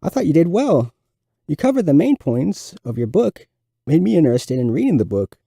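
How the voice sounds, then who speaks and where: A man voicing a polite, supportive schoolboy giving measured approval in a narrated story. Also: a little high, big pitch swings, gravelly, mellow, slightly rough, no echo, studio quality, pitched above the performer's own voice, heavily acted. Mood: approving.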